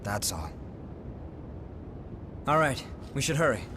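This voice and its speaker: A man playing a calm, earnest, compassionate young leader in a video game, speaking in a measured, gentle, serious way. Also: medium pitch, noisy background. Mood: focused, serious. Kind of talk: English video game voice acting.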